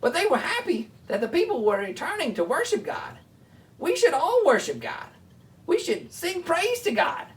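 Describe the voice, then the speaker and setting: Animated, high-voiced woman teaching children. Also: moderate pace, recorded from a distance. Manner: animated, simple explanations for children. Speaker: children's Bible show host. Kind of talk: children's Bible teaching, chatting with a puppet.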